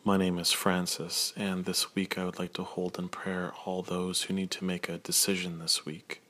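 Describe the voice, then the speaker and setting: A man offering a prayer as a caller, solemn and deliberate in his phrasing. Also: low voice, pushed and bright. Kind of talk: measured prayer recitation.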